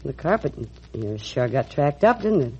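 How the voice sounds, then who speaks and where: Helpful chatty maid, folksy, very husky, animated pitch, old radio drama, muffled recording. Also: female voice, normal speed, heavily acted. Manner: folksy, colloquial.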